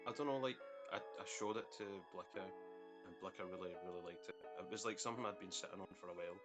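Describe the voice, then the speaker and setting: A man with a fairly low voice speaks as a guest music producer. His manner is laid-back and informal, like casual interview chat. Faint music plays behind the call. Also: fast.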